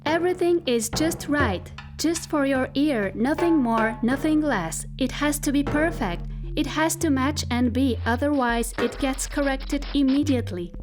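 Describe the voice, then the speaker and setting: A woman playing a possessive, coaxing spacesuit AI in a science fiction audio drama, speaking deliberately and intimately with careful articulation and a self-satisfied, controlling manner. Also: sits high. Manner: slow, deliberate, over-articulated, intimate and insinuating. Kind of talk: theatrical science fiction monologue. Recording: music behind the voice.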